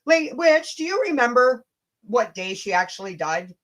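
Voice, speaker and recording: A woman's voice: a little high, very animated, moderate pace, fairly bright tone. A woman hosting a casual livestream rant, blunt, combative and sweary, with chatty asides to her viewers. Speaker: livestream host.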